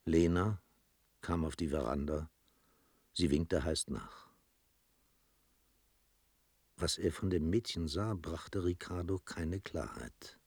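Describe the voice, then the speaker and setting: A man with a husky voice narrating a literary radio play in a dramatic storytelling style. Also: deep voice, slow, long pauses, darkish tone, no room sound, heavily acted.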